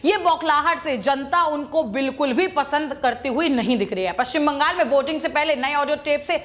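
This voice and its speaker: Woman, high voice, news commentary, opinionated, emphatic and repetitive delivery. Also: normal speed, fairly bright tone. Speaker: news presenter.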